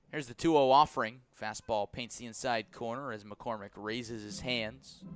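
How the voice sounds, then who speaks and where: A man calling baseball live on radio from a press box as play-by-play announcer, with a measured delivery that lifts on each pitch. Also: fairly bright tone. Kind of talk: live radio sports commentary.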